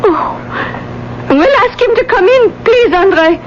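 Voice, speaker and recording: A woman's voice: very high-pitched, animated, unhurried. An actress playing a distraught, genteel, trusting lady in a radio drama, with a fragile, hesitant and melodramatic delivery. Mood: bewildered. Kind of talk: 1980s radio drama acting. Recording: dull, noisy old radio broadcast.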